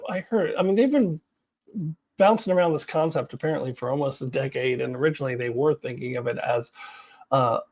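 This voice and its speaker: A woman hosting a casual fan commentary video. Her voice has a gravelly fry to it. She talks bluntly and informally, haltingly working through her opinions for the viewer. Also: rumbling low, darkish tone.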